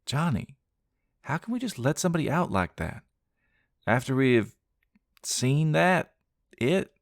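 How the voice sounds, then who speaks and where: A man's voice: sing-song, a little slow, gravelly, dry recording, studio quality, heavily acted. A man voicing a frightened young woman who pleads through tears. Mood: pleading. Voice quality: raised falsetto-like pitch.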